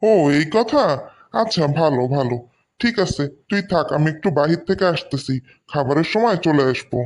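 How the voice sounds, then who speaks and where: A man's voice: a little high, expressive, moderate pace, lightly acted. A man with a Bangladeshi accent voicing an easygoing, curious younger brother in a cartoon story. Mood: curious, agreeable. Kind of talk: animated moral story dialogue.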